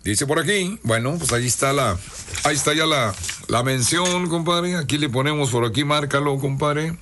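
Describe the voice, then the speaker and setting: A hoarse man, hosting a radio show and reading listener greetings in a chummy, casual way. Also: on the high side, normal speed, fairly bright tone, a little hiss or hum. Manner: chummy, reads listener messages aloud. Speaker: radio host. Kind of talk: casual radio shout-outs.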